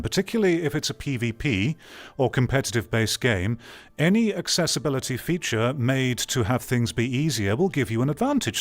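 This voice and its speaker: A man giving opinionated commentary on an article, reading parts aloud and reacting in a blunt, dismissive, argumentative way. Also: medium pitch. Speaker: video commentator.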